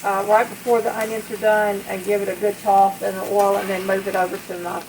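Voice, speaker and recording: Female home cook, mid-pitched voice, chatty folksy delivery, recorded in a kitchen with noticeable sizzling behind. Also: little pitch movement, fairly quick. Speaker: home cook hosting a recipe video. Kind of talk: casual cooking demonstration. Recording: clear background kitchen noise, frying sizzle. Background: sizzling skillet, stirring.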